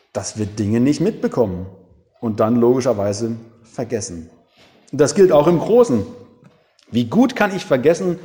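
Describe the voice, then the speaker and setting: A man preaching a reflective sermon, with dramatic pauses, rhetorical questions and emphatic single words. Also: on the high side, warm tone, big-room sound. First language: German.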